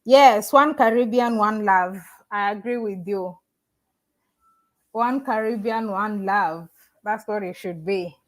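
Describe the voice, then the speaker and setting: A woman's voice: mid-range voice, takes it slowly, poor audio. A woman from Africa who lives in the Caribbean, chatting casually on a live stream. She reads viewer comments and talks to them directly, often restarting her sentences. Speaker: YouTuber livestreaming and reading chat. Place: living in the Caribbean.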